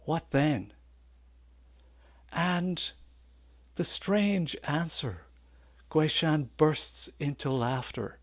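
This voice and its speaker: Older male Zen teacher; unhurried, thoughtful delivery, dry close sound. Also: fairly high pitch, animated, no room sound. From Ireland. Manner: halting, contemplative, with long thoughtful pauses. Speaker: Zen senior assistant teacher. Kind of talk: reflective Dharma talk to a meditation group.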